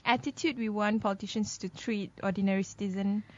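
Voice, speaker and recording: A woman co-hosting a casual news commentary show, chatty and quick to laugh. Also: mid-range voice, steady tone. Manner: chatty, laughs often, many fillers. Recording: dull lo-fi podcast audio.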